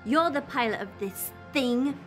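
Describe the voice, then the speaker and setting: Woman acting homesick girl sidekick in a sci-fi audio play, high voice, anxious and stubborn, faint music behind. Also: animated, unhurried. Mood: indignant. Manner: questioning, protesting. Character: anxious, homesick, stubborn young female companion. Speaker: voice actor in an audio drama.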